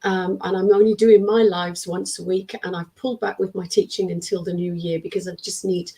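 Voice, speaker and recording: A middle-aged woman from the UK, a spiritualist medium and teacher, chatting in an interview with a croaky, worn-out voice and acting out little quoted exchanges as she tells her stories. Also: lowish voice, flat delivery, fairly quick. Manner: warm storyteller who acts out quotes of students. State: strained, worn-out throat. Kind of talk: casual interview chat.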